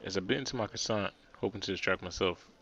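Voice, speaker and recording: Low-voiced man reading a visual novel. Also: fast talker, fairly bright tone, muffled recording. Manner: stumbling restarts, playful asides. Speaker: let's play narrator.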